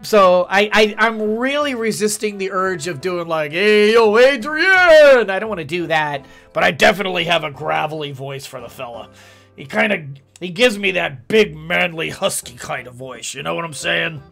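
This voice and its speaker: A man's voice: fairly high pitch, moderate pace, gravelly, sharp tone, soft background music. A man in his forties giving chatty, joking let's-play commentary from a home gaming room. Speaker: gaming streamer.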